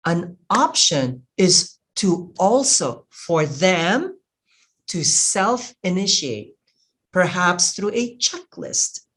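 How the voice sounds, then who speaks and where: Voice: medium pitch, sing-song, fairly bright tone, slightly rough. Earnest Filipino-born webinar facilitator. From Philippines. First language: Tagalog. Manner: earnest, measured, walks through slides. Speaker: workshop facilitator. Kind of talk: webinar presentation. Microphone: video call.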